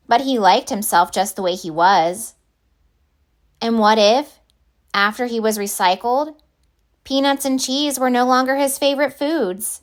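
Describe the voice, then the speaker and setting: A woman reading a children's picture book aloud as a storyteller, with deliberate, expressive phrasing. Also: a little high.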